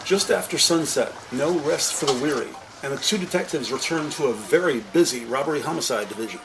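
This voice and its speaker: A man narrating a crime audio drama with scripted, descriptive storytelling in a deliberate, literary manner. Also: fairly high pitch, animated, noisy background.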